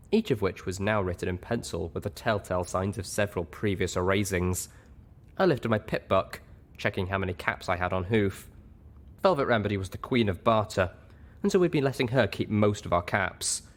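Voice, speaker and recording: A man narrating a first-person story in an expressive audiobook reading style. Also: on the low side, normal speed.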